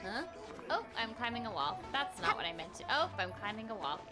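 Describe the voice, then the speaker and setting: A woman's voice: fairly high pitch, sing-song, brisk. A young woman chatting casually on a game livestream, giggly and flustered as she reacts aloud. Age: young adult. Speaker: streamer, voice actress. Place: indoor room, streaming setup. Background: game music.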